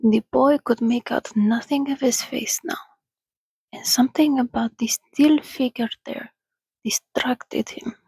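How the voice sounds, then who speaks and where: A woman reading a story aloud in a soothing, breathy, soft-spoken ASMR style, often slipping into a whisper, close to the microphone. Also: fairly high pitch, steady tone, no room sound, low-quality recording. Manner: soothing, unhurried. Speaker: reader.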